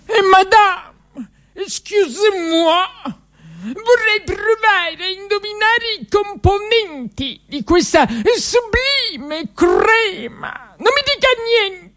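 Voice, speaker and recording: Pompous aristocratic foodie countess, tipsy. Swooping, drawn-out, savoring delivery with affected French touches, radio comedy. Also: female voice, very high voice, sing-song, a bit bright, voice pushed up, exaggerated voice. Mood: tipsy, gushing. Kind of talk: theatrical comic radio monologue.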